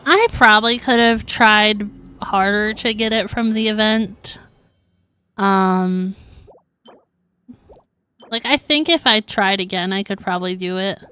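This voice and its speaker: A woman's voice: medium pitch, unhurried, pauses often, pushed and bright, clean tone. A woman livestreaming a game, chatting casually and informally with her viewers. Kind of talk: casual chat while streaming gameplay.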